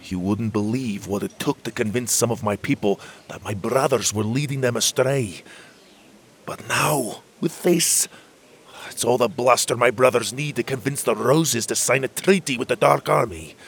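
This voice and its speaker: A man's voice: mid-range voice, normal speed, breathy edge, voice pushed up. A man voicing a grumpy, chubby male butterfairy lord who scolds in old-fashioned words. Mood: worried. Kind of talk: audiobook character voice.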